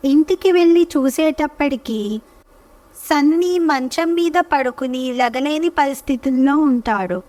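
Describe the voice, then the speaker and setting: High-pitched woman telling a children's story slowly. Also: lively intonation, darkish tone, no room sound, far from the mic. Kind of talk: scripted children's story narration.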